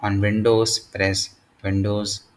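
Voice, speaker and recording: A man with a fairly low voice presents a step-by-step software tutorial. He speaks very slowly, in short, halting phrases. There is faint noise behind his voice. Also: monotone.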